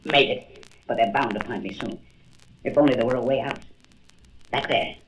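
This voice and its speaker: A man's voice: mid-range voice, normal speed, lightly acted. A man playing a frail, earnest, anxious doctor who thinks aloud dramatically in a comic-book audio drama. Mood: panicked, despairing. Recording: faintly noisy old record.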